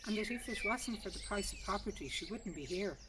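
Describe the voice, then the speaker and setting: A woman's voice: medium pitch, lively intonation, fairly quick. Distant-miked woman reading a story outdoors. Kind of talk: scripted narration of a short story. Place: outdoors in a garden. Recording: distant mic, outdoor noise, birdsong. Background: birdsong and outdoor ambience.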